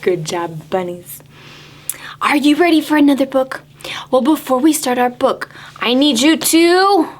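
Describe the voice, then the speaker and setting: Slow, high-voiced woman leading preschool storytime. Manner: playful, simple instructions addressed to small children. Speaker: children's librarian hosting preschool storytime. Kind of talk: sing-song storytime for young children.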